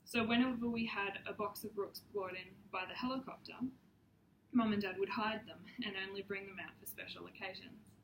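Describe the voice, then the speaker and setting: A young woman reads a prepared presentation in a steady, earnest voice, stumbling now and then with an um or a restart. Her voice rings in an echoey room. A faint hiss sits underneath. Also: mid-range voice. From Papua New Guinea. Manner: measured, earnest, occasional ums and restarts. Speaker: student presenter.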